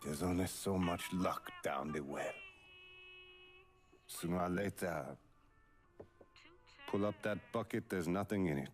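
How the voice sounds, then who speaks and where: A man's voice: sits low, a little slow, pauses often, faint music behind. An actor playing a weary middle-aged neighbourhood boss, fatherly yet commanding, in a dramatic game cutscene. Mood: weary, worried.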